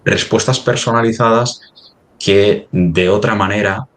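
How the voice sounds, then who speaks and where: A man from talking business conversationally, halting and rephrasing as he goes, often ending on tag questions. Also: on the low side, fairly even intonation, fairly bright tone. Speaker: company representative in a webinar.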